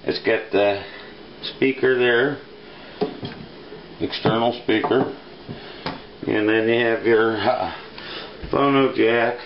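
A middle-aged man talking casually and haltingly in a plainspoken way, as if showing a gadget on a home video. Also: medium pitch, steady tone. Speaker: amateur vlogger, radio hobbyist. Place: small indoor room, kitchen-like. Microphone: handheld camera at arm's length. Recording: dull lo-fi camera audio, clear noise.

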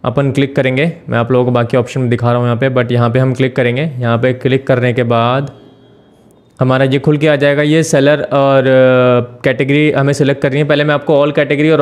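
A man talking through a screen-share tutorial as the channel host, explaining step by step with frequent filler pauses. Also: mid-range voice, a little mellow.

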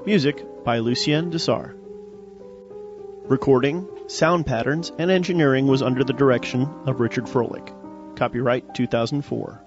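A man delivers a scripted credits announcement for an audio drama. His pitch is average, and music plays clearly behind him. The recording is slightly dull. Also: normal speed. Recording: muffled audio with music bed.

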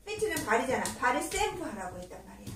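A woman in her thirties teaching English to young children in a small classroom, asking patient coaxing questions and stretching out English words slowly, sometimes singing song lines. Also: sits high, sing-song. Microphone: far camera. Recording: roomy, distant, faint hiss.

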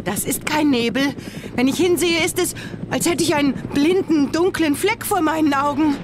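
Young heroine, female actor: high, incredulous, uneasy radio play voice over clear engine noise. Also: moderate pace, close to an everyday voice. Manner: expressive, incredulous. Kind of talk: children's sci-fi radio play acting. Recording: helicopter noise behind the voice. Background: helicopter noise.

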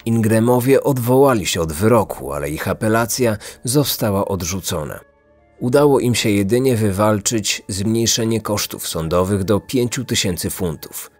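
A man narrating a scripted true-crime podcast as its host, measured and serious. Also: fairly low pitch, expressive, darkish tone, soft background music.

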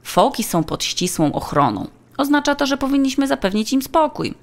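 A woman's voice: high-pitched, sing-song, normal speed, pitched above the performer's own voice. A woman voicing a helpful, polite female tour guide who explains things in a children's audiobook. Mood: explaining.

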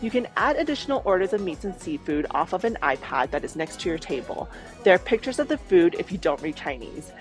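A woman's voice: on the low side, sing-song, brisk. A woman narrating a travel vlog voice-over in an informative, upbeat tour-guide manner. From Taiwan. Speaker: travel vlogger. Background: faint background music.

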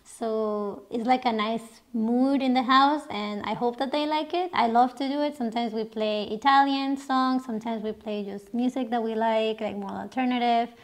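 A woman's voice: fairly high pitch, fairly even intonation, normal speed, few pauses. A young woman from Mexico, hosting a casual Q&A on her sofa and laughing often. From Mexico, raised partly in Canada. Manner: chatty, laughs often, many restarts. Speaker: channel host. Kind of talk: casual Q&A chat. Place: living room sofa, indoors. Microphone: camera a few feet away.

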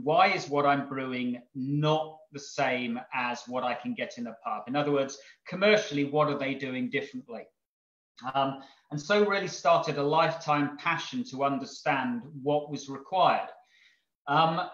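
A man's voice: a little high, fairly bright tone. Chuckling man on a video call. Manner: rambling, chuckling, many ums and restarts. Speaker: brewery owner. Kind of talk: casual webinar talk. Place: home room on a video call. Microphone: video call microphone. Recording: echoey room, dull video call audio.

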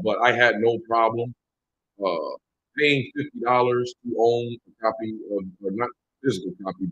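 A hoarse man in his thirties, losing his voice, hosting a casual livestream from a small room and greeting viewers by name. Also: lowish voice, dull audio. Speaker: livestream host.

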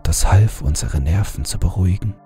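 A man reading a horror story aloud in a calm, measured first-person narration. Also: low-pitched, faint music behind.

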